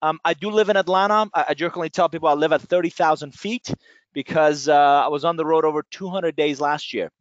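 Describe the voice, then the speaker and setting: A middle-aged man from Iran, a guest speaker giving an energetic, chatty webinar presentation with many fillers, talking from his office into a computer mic. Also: a little high, brisk, dry recording. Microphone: computer mic on a webinar call. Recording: dry, dull lo-fi webinar audio.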